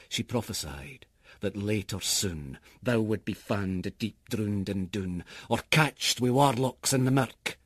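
A man with a Scottish accent giving a theatrical, expressive recitation of narrative poetry in Scots. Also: lowish voice, breathy edge, dry recording, clean studio sound. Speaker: poetry reader.

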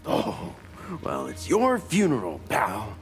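An arrogant video game superhero who thinks himself a god. His delivery is slow and mocking, swinging widely in pitch with a heavy creak. He condescends with menace, and music plays behind him. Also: wildly swooping pitch, exaggerated voice. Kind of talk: fighting game character dialogue.

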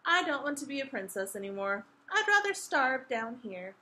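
A woman's voice: sits high, very animated, normal speed, sharp tone, slight background noise, voice pushed up, full character voice. A woman voicing a stubborn, tomboyish young princess in a children's storybook, defiant and emphatic. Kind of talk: children's storybook read-aloud.

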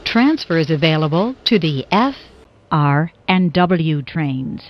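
A woman's voice: sits low, lively intonation, slow. A woman reading scripted transit announcements as a train announcer. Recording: dull, lo-fi announcement recording.

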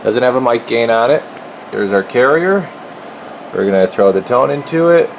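A man's voice: medium pitch, normal speed, gravelly, rough recording. A man giving a casual, folksy hands-on demonstration as a repair technician, talking informally while he works in an indoor workshop, recorded on a handheld camera. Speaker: radio repair technician presenting his work. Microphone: handheld camera microphone. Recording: steady loud equipment hum and noise.

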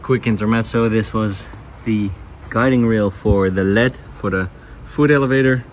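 Young male vlogger, fairly low voice, informal explanatory delivery, handheld phone with faint background insect noise. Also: fairly even intonation, measured pace. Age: young adult. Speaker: vlogger renovating a villa. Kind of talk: casual vlog narration to camera. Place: outdoors in an overgrown garden, some indoors. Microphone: handheld phone at arm's length.

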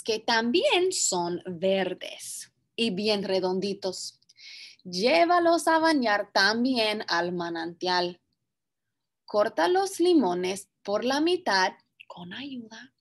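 A young woman reading a picture book aloud to children, expressive and deliberate in her phrasing. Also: on the high side. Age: young adult. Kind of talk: children's story read-aloud with brief asides. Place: small indoor classroom.

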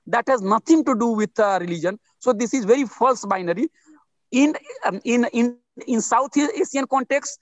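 Earnest high-voiced Indian man. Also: no echo. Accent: Indian English. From India (Bihar). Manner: earnest, repetitive, emphatic with restarts. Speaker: interview guest, writer. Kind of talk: video-call interview. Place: outdoors under trees. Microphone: video call.

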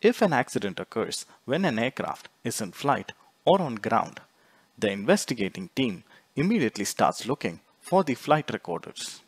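A man reading scripted instructional narration in a measured, explanatory way, phrase by phrase. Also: lowish voice, slightly rough, no echo. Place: close, dry voice-over recording.

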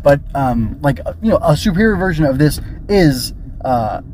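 A man's voice: a little high, very animated, moderate pace, a little mellow, slight background noise. A man hosting a casual solo review podcast, rambling and thinking aloud with tentative digressions. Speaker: podcast host and film reviewer.

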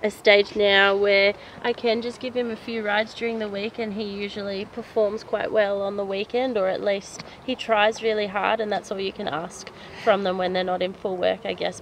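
A young Australian woman chats in a casual, easygoing interview style. Her pitch stays very even at an ordinary height. She is recorded outdoors, with faint ambient noise behind her. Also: normal speed, poor audio. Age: 20s. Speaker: amateur dressage rider being interviewed. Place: outdoors at wooded pony club grounds.

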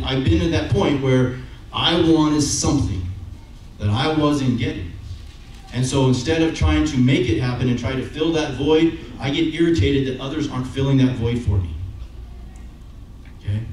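A man's voice: mid-range voice, a little mellow. Middle-aged man preaching in an echoey church. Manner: conversational, keeps asking "okay?" and "right?"; walks around the stage with a handheld mic. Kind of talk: sermon. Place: church sanctuary with brick wall. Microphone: handheld microphone, far camera. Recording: very echoey room, from far off, faint noise.

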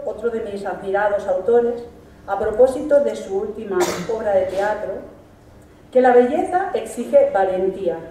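A woman's voice: a little high, warm tone, far from the mic, slight background noise. Woman giving a speech in an echoey hall. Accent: Spanish from Spain. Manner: measured, emphatic delivery with lists. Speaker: teacher giving graduation speech. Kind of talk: formal ceremonial speech read from a lectern. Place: large hall stage. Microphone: stand mic at a lectern. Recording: echoey hall, recorded from afar.

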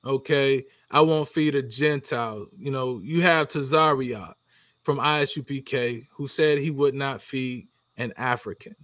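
A man's voice: mid-range voice, unhurried, a bit bright, dry recording. A middle-aged man hosting an interview over a video call, loose and casual with many fillers. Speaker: interview host. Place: dim room with dark curtain.

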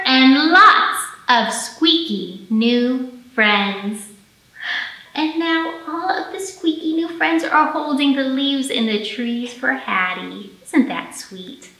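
Woman librarian doing storytime for little kids, expressive, highish voice, echoey room. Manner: expressive, addressing young children directly. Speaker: children's librarian hosting storytime. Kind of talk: children's storytime reading and presenting. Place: indoor library room, pre-recorded for online storytime. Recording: roomy echo.

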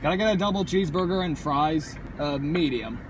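A man's voice: fairly high pitch, fairly quick. A young man chatting and teasing his passenger while he drives, joking and mock-exasperated. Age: young adult. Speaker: vlogger driving. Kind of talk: casual banter in a car. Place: inside a car on the road. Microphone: dashboard phone camera. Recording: loud road noise in a car. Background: car engine and road noise.

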